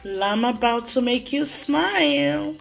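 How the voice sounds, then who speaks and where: A woman voicing an excitable, playful young goat kid in a children's storybook, bubbly and expressive. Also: high-pitched, creaky, soft background music, pitched above the performer's own voice, full character voice. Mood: joking. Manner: bubbly, expressive, drawn-out emphasis. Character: excitable, playful young goat kid who loves making friends laugh. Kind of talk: first-person children's storybook read-aloud.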